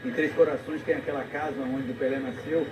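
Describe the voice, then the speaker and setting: A male TV co-commentator making calm, brief remarks during a live broadcast. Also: fairly high pitch, brisk. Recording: TV audio recorded off the air from a distance in an echoey room, noisy.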